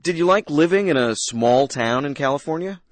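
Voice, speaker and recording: A man's voice: on the high side, lively intonation, moderate pace, dry recording, lightly acted. A man playing an eager young college student. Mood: friendly. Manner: friendly, eager questions. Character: friendly young male college student from a small town. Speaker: dialogue actor. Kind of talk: scripted radio lesson dialogue.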